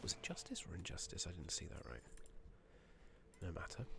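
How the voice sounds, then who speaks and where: Male ASMR gamer, very low heavily creaky voice, hushed murmur slipping into whispers, close-miked with audible background noise. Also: brisk. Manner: hushed, gentle, drifting between murmur and whisper. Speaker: ASMR gaming streamer. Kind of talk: soft-spoken and whispered ASMR game playthrough commentary. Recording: steady background noise, keyboard clicks.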